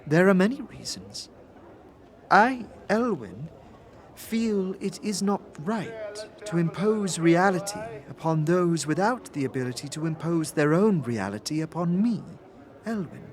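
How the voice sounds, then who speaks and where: A woman's voice: lowish voice, big pitch swings, unhurried, a little mellow. An actress playing a reserved otherworldly woman who speaks in a stilted, careful, formal way in an audio drama. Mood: grave, careful. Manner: stilted, careful, formal, repeats own name. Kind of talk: scripted audio drama acting.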